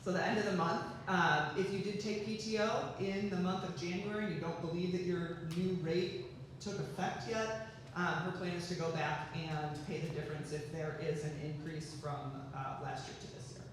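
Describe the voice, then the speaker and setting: A man with a fairly high voice talks staff through updates in a loose, chatty way full of ums. He sounds far from the microphone in an echoey hall with long reverb. Room noise is clearly audible behind him. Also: fairly even intonation, moderate pace. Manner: chatty, filler-heavy with many ums. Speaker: HR presenter at a company meeting. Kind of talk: casual presentation to staff. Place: large meeting room with staff audience.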